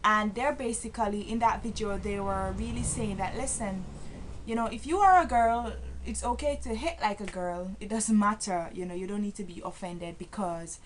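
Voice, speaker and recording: A woman's voice: medium pitch, moderate pace, slight background noise, rough recording. A Jamaican woman talking casually to camera, earnest and full of fillers and restarts, growing emphatic and preachy as she goes. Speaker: vlogger.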